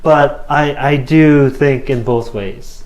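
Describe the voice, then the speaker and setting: A man in his thirties from South Korea, speaking English with a Korean accent as an interview guest, halting with many fillers and restarts. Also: mid-range voice, darkish tone. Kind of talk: casual interview answers. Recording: roomy echo.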